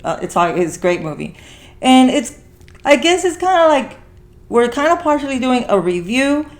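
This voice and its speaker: A woman's voice: sits high, sing-song, moderate pace. A woman hosting a podcast in casual conversation, rambling as she repeats and rephrases herself. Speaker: podcast host.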